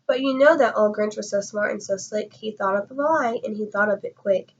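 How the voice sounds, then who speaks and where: A woman reading a rhyming children's story aloud with expressive, dramatic, rhythmic phrasing. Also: mid-range voice, fairly quick, muffled recording. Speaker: storybook reader.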